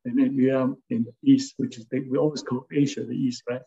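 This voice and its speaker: An older man from Hong Kong, an architect recalling his career in a halting, casual way, often checking in with "okay?". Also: medium pitch, flat delivery, gravelly, warm tone, dull audio. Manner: halting, many fillers and restarts, tags with "okay?". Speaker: interviewee, architect and former design lecturer. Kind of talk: casual spoken reminiscence.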